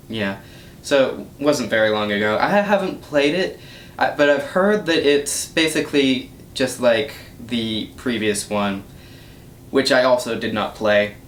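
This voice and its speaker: Young man in a roomy, echoey recording. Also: fairly bright tone. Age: young adult. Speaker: YouTube gaming channel host. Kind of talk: casual rambling vlog update. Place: small room indoors. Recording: roomy echo, low rumbling noise.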